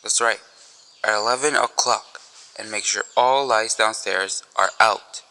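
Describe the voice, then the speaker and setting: A man playing a businesslike, formal telephone voice in a radio thriller, speaking in a clipped, measured way. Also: lowish voice, bright, forceful tone. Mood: coolly conspiratorial. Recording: faint noise with clicks.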